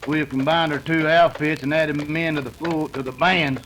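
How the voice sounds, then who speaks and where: A man playing a rough, greedy Old West outlaw boss with an American western drawl in a radio drama. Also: fairly high pitch, steady tone, normal speed, noisy background, lightly acted. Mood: calculating. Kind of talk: 1930s western radio acting.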